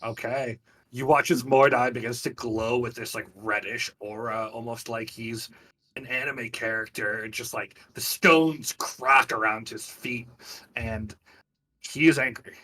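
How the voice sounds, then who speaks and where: A man's voice: mid-range voice, steady tone, measured pace, bright, forceful tone, far from the mic, poor audio. A man acting as game master, casually narrating players' actions and scenes in a tabletop game.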